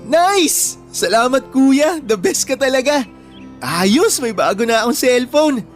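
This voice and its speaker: A man's voice: very high voice, big pitch swings, slow pace, soft background music, heavily acted. A man playing an affectionate, boyish younger brother in a Filipino radio drama, earnest, with a Filipino accent. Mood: delighted.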